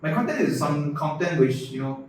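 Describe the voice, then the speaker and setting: A man's voice: a little high, expressive, moderate pace, a little hiss or hum. A young man, a content creator, chatting as an interview guest and often repeating his words. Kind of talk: casual podcast interview. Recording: echoey room, mic at a distance.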